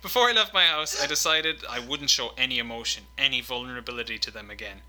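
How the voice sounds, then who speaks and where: Bright-voiced man reading quotes. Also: medium pitch, fast talker, rough recording. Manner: animated, swearing, laughing while reading quotes. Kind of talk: casual chat, reading quotes aloud.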